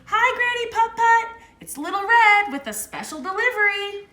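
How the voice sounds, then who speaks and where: A woman's voice: extremely high pitch, lively intonation, moderate pace, bright, forceful tone, reverberant, pitched above the performer's own voice, heavily acted. A woman voicing a small, eager young boy scooter who talks in a polite, slightly nervous way in a storybook read-aloud.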